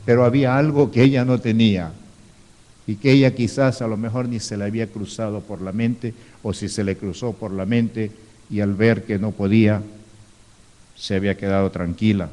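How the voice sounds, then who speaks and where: A man's voice: fairly low pitch, steady tone, moderate pace, dark tone. A man preaching as a pastor, teaching in a sermon, reading scripture aloud and adding rhetorical asides. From Ecuador. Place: church hall, live stream. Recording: reverberant church hall.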